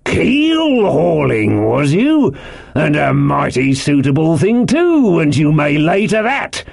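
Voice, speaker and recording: A bold, husky seafaring landlord, acted out by a male audiobook reader, hearty one moment and stern the next. He draws out his words loudly and emphatically, with gravel in the throat and big swings of pitch. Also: sing-song, no echo, clean studio sound, exaggerated voice. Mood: stern, bullying. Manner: loud, emphatic, drawn-out old sailor's speech. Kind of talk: audiobook character voice.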